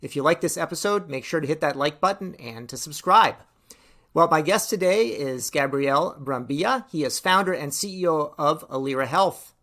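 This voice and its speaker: A man's voice: on the high side, animated, moderate pace, a bit bright. A man hosting a business podcast, asking friendly, rambling questions with restarts. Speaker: podcast host. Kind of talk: interview hosting.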